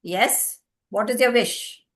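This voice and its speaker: A woman storyteller voicing a stern town judge who speaks in a measured way. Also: fairly high pitch, a bit bright.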